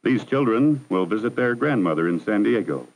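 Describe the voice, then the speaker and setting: A man narrating a 1960s educational film in a measured, formal, instructive manner. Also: on the low side, animated, low-quality recording. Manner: measured, formal, didactic delivery. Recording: 1960s archival film soundtrack.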